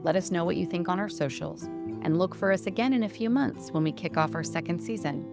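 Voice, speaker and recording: A woman's voice: fairly low pitch, fairly quick, gravelly. A woman hosting a true-crime podcast, reading scripted narration. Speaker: podcast host. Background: guitar music.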